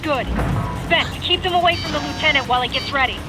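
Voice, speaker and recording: Game casting: woman as determined female aircrew commander, high-pitched, crisp and commanding, over loud music. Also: fast talker, natural voice. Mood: commanding, focused. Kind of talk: cinematic military game acting, clipped radio commands.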